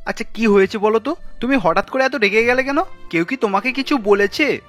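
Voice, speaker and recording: A man voicing a young Bengali husband in a family cartoon, easygoing and colloquial, quick to protest. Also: extremely high pitch, fairly bright tone, faint music behind. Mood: puzzled, exasperated. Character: young Bengali husband, easygoing office worker, easily exasperated.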